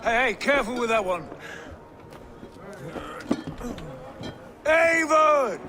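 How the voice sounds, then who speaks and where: An actor playing a boisterous, boastful young Viking prince with a hearty, grand way of talking. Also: male voice, extremely high pitch, lively intonation, moderate pace, very noisy. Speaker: game character. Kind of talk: cinematic game acting. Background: faint game score music.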